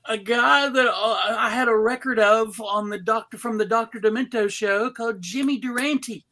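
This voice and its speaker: A man hosting a live table read, introducing people in a casual, joking, halting showman's manner. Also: high-pitched, bright, forceful tone.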